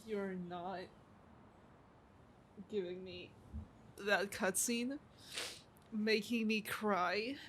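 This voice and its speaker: A woman's voice: mid-range voice, fairly even intonation, drawn-out words, pauses often, fairly bright tone, slight background noise. A woman streaming a video game, reacting casually in a sweary, exasperated, slangy way. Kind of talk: casual live gameplay reaction. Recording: stream voice over game music.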